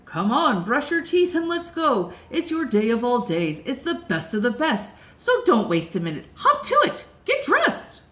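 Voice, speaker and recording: A woman voicing an excitable, bossy birthday bird that talks in bouncy exclamations. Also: measured pace, hardly any pauses, heavily acted. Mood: eager. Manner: bouncy, exclaiming, high swoops. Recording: roomy echo.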